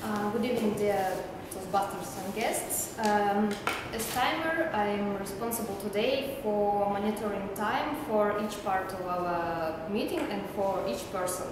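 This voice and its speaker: A woman's voice: mid-range voice, a little slow, hardly any pauses, noisy background. Careful young woman in an echoey hall. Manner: careful, reading from notes. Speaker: meeting timer. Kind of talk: explaining rules to an audience. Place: large indoor meeting room.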